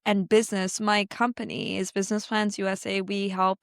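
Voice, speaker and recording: Canadian woman, french first language, thoughtful interview guest, searches for words, bit of fry. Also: medium pitch, level tone, fairly quick, dry recording, studio quality. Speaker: podcast guest, business owner. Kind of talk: casual interview talk.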